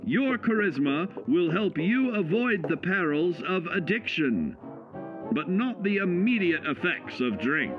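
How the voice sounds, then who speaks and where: An actor playing a cheerful, folksy narrator of 1950s-style government survival films, selling each point with measured, upbeat emphasis. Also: male voice, high-pitched, big pitch swings, highs cut off. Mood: upbeat, instructive. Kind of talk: 1950s instructional film narration. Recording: vintage band-limited film sound, music behind. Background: music.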